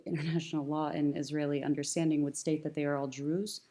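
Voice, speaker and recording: A woman's voice: sits low, little pitch movement, quick pace, warm tone. A young woman from the United States, speaking as a guest in an earnest, thoughtful panel conversation, with frequent fillers and restarts.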